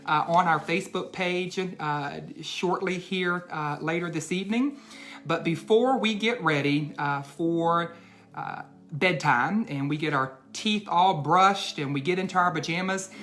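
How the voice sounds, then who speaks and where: A male educator speaks to young children as in a bedtime read-aloud. His phrasing is deliberate and emphatic, aimed straight at the young listeners. Faint music sits behind his voice. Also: fairly high pitch, bright, forceful tone.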